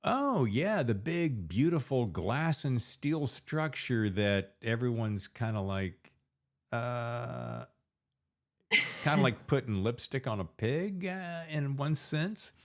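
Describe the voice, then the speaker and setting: Male, middle-aged, interviewer. Lively, wide-ranging intonation, close dry sound, casual storytelling. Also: mid-range voice, takes it slowly, no echo. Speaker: podcast host. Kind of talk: casual interview chat with anecdotes.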